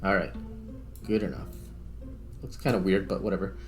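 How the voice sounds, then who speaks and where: A man's voice: normal speed, faint music behind. A man giving casual, unscripted gameplay commentary, thinking aloud and trailing off mid-thought as he plays. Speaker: host, gamer playing a space flight simulator. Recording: clear rumbling noise behind voice.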